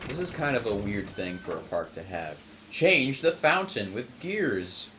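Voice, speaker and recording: A man's voice: lowish voice, very animated, measured pace, background noise. A man hosting a casual group gaming stream, wry and opinionated as he chats over the game. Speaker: host.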